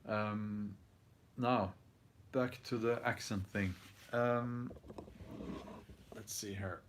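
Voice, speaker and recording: A man in his forties with a Norwegian accent, hosting his channel and reading out viewer comments in casual chat, thoughtful and halting with many ums and restarts. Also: fairly low pitch, fairly even intonation, slight background noise. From Norway. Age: 40s. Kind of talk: casual live-stream chat.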